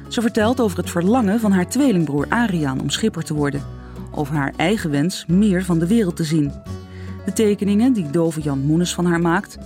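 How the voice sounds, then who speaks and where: A woman narrating a radio play in calm, even scripted narration. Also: fairly low pitch.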